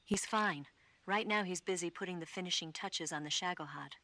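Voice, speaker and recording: Cool female spy, low pitch, confident, matter-of-fact briefing, dry close sound. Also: a little fast, pushed and bright, no echo. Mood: cool, urgent. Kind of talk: video game radio-call dialogue acting.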